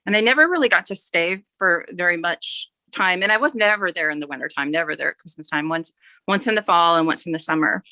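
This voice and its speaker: A woman's voice: lowish voice, quick pace, sharp tone, no room sound. A mature woman chatting casually as an author guest, rambling a little and correcting herself as she goes. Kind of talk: casual interview chat.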